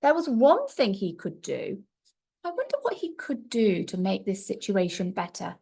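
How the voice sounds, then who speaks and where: A woman's voice: medium pitch, very animated, normal speed, creaky, warm tone, slightly rough. A woman reading a storybook aloud to young children like a primary teacher, expressively and with little asides to her listeners.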